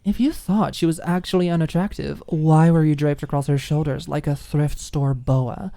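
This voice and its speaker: A woman playing a brash, mellow-voiced cocky college girl. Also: deep voice, steady tone, normal speed, vocal fry. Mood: mocking. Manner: taunting, smug, sarcastic.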